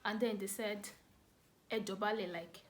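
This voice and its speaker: A woman with a Nigerian accent telling a story casually to camera, animated and dramatic, repeating words for emphasis. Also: mid-range voice, moderate pace. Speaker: vlogger telling a family story.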